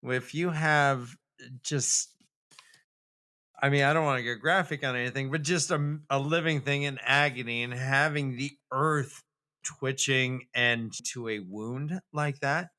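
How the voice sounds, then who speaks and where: Bright, forceful man, unhurried pace. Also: medium pitch. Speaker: podcast co-host. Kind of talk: casual book discussion.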